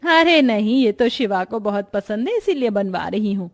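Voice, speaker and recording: A woman's voice: medium pitch, lively intonation, moderate pace, faint music behind, close to an everyday voice. A woman voicing a middle-aged mother-in-law who gives commanding orders in a cartoon family story. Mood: ordering. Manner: commanding, matter-of-fact demands. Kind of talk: animated moral story dialogue.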